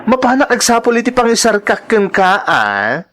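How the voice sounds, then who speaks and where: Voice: medium pitch, sing-song, moderate pace, a bit bright. A performer with an Ilocano accent playing a sassy, bossy, evasive transgender woman in an audio drama, flamboyant and scolding. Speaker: voice actor in a radio-style drama.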